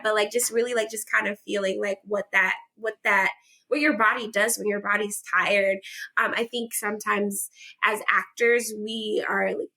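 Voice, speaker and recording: A woman's voice: on the high side, a bit bright. A young adult woman, an actor and a podcast guest, talking casually and filling her speech with "like". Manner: chatty, fills speech with "like". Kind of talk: casual conversational interview.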